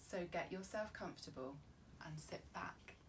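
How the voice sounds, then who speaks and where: A woman reading a children's story in an expressive, measured and dramatic way. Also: lowish voice, whispered.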